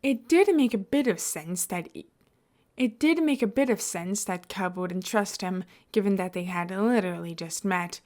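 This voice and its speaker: A woman's voice: mid-range voice, normal speed, a little mellow. A woman reading scripted fiction as an audiobook-style narrator.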